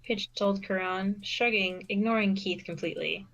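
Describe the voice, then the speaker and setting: A woman's voice: mid-range voice, steady tone, normal speed, vocal fry, a bit bright, lo-fi sound. A woman reading a story aloud as narrator, now and then stumbling and repeating a phrase. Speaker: fan fiction reader and narrator.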